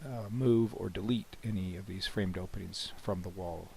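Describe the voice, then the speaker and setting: Low-voiced guy w a cold demoing his plugin, hoarse, keeps clearing his throat, says you know a lot. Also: normal speed. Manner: relaxed, rambling, frequent "you know" and restarts. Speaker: software developer demonstrating his plugin. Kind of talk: screen-recorded software walkthrough.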